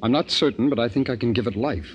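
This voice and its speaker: An actor playing an anguished, secretive doctor in a 1950s radio drama, speaking haltingly and repeating himself. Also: male voice, medium pitch, highs cut off, lightly acted. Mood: intense, fearful. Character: anguished, secretive doctor confessing a forbidden experiment. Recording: noisy 1950s radio broadcast recording.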